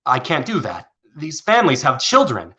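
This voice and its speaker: A man's voice: a little high, huge pitch swings, moderate pace, sharp tone, full character voice. A man playing a decent, harried middle-aged father in a radio play, pleading earnestly, kind but firm.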